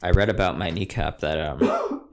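Low-voiced laid-back young man. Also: huge pitch swings, a little slow, a little mellow. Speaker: podcast co-host. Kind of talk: casual chat. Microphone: headset.

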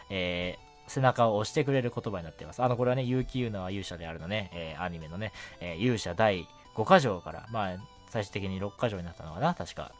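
Male radio host, casual and hesitant, fairly low, very varied intonation, faint music behind. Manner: chuckly, hesitant, easygoing. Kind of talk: casual listener-mail radio talk.

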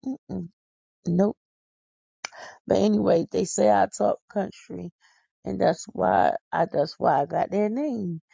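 A woman's voice: medium pitch, lively intonation, slow pace, gravelly, pauses often, warm tone, breathy edge, no room sound. A woman, hosting a podcast in a casual rambling monologue, tired, silly and folksy with exclamations. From Philadelphia. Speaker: podcast host. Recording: dull lo-fi dry recording.

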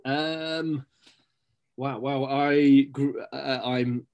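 A man's voice: mid-range voice, steady tone, very slow, darkish tone, smooth. A middle-aged man, a guest in a casual interview, talking in rambling sentences with many fillers and restarts. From Scotland-born, raised in Stapleford, England. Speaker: guest, local magazine editor.

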